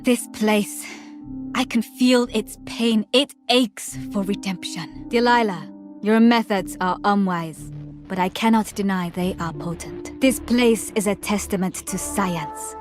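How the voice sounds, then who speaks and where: Actress as a solemn healer for a game, very slow, music behind. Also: female voice, medium pitch, close to an everyday voice. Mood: serene. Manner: solemn, serene. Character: serene woman healer. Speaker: game character.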